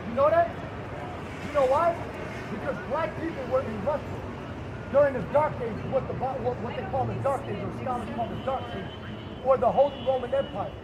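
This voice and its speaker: High-pitched male street preacher. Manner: emphatic, fires rhetorical questions and challenges listeners. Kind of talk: open-air street preaching with scripture reading. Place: outdoors on a city street near traffic. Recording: street noise outdoors.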